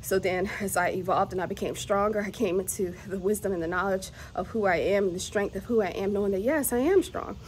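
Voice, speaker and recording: A woman's voice: mid-range voice, brisk, few pauses, a little hiss or hum. A woman, talking candidly to camera about motherhood and self-growth, laughing often. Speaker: vlogger, mother of three. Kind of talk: casual reflective monologue.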